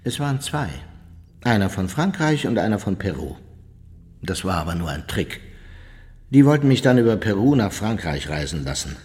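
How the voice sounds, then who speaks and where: An actor playing an older, unrepentant and boastful German ex-officer, reminiscing huskily in a documentary radio drama monologue. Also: male voice, fairly low pitch, big pitch swings, moderate pace, darkish tone, breathy edge. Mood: aggrieved, weary. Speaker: actor reading a war criminal's recollections.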